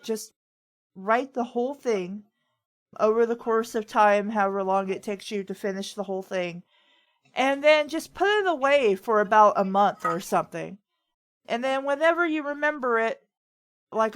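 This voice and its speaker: A woman chatting casually as a host, giving informal advice and personal anecdotes. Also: a little high. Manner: informal, anecdotal, occasionally profane. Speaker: host of a writing advice talk.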